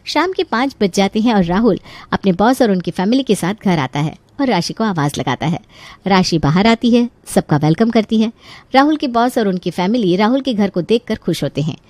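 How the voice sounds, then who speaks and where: A woman narrating a scripted animated family story as its storyteller. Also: mid-range voice, moderate pace, no echo.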